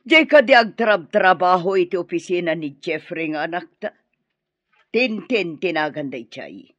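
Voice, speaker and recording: A woman's voice: fairly low pitch, lively intonation, moderate pace, a bit bright, dry recording, highs cut off. An actress with an Ilocano accent playing a scornful, scheming middle-aged mother in a radio drama. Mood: scheming, coaxing.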